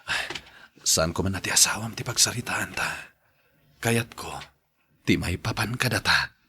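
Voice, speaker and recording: A man acting a persuasive secret lover in an audio drama. His voice is very deep and heavily raspy, with a forceful edge. He coaxes and insists as he speaks. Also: unhurried, full character voice. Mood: coaxing. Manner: coaxing, insistent. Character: insistent, coaxing secret lover, male personal driver. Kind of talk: podcast radio drama acting.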